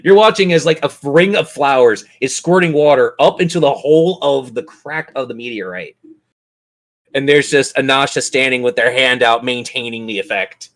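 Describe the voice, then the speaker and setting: A man's voice: mid-range voice, moderate pace, fairly bright tone. A man acting as game master, narrating and bantering in a theatrical, courteous way.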